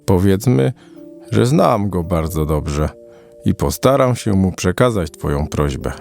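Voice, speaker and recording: Slow, gravelly, deep old man, acted by a man. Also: lively intonation, a little mellow, heavily acted. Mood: kindly, measured. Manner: slow, deliberate, musing. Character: kindly old man with gray beard, gentle and thoughtful. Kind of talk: children's audio play acting. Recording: music under the voice.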